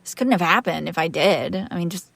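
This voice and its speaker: A woman's voice: fairly low pitch, expressive, brisk, creaky, fairly bright tone, dry recording, heavily acted. Dry, close-sounding woman acting as a vampire master. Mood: pleading, reassuring. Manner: conversational, defensive, sardonic. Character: female vampire master, exasperated yet protective caretaker. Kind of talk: intimate audio roleplay acting, one side of a conversation.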